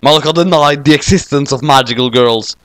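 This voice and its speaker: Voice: medium pitch, brisk, a bit bright, no echo. A narrator reading a scripted comic parody story.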